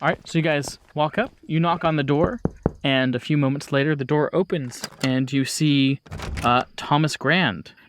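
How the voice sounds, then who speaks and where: A man acting as game master, improvising descriptive narration in a casual, halting way. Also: on the high side, fairly even intonation, a little hiss or hum.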